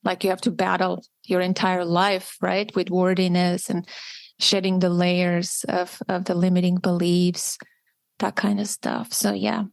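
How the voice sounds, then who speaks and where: A woman's voice: on the low side, flat delivery, a little slow, vocal fry, no room sound. Reflective woman from Armenia, dry sound. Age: 40s. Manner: reflective, candid, with frequent restarts. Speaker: podcast host. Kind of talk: personal conversation, answering intimacy questions.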